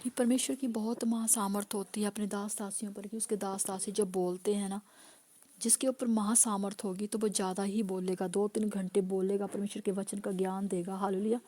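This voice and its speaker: A woman pastor preaching a sermon with a hoarse voice, driving points home through repeated phrases and calls of hallelujah. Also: mid-range voice, little pitch movement, brisk, dark tone.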